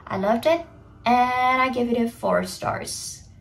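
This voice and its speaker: A woman's voice: fairly high pitch, very animated, slow, a little hiss or hum. A young woman in her twenties whose first language is Indonesian, chatting to viewers in an opinionated book review vlog from her bedroom. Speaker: book vlogger. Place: bedroom in front of a bookshelf, indoors.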